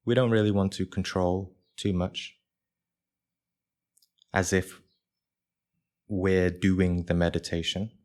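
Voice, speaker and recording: A man leading a live guided meditation for a group as its teacher, speaking in measured short phrases with pauses between them. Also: low voice, flat delivery, a little mellow.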